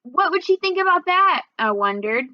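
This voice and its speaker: High-voiced woman reading a children's story. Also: sing-song, normal speed, dry recording, lo-fi sound. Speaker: read-aloud storyteller. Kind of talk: scripted first-person children's book narration.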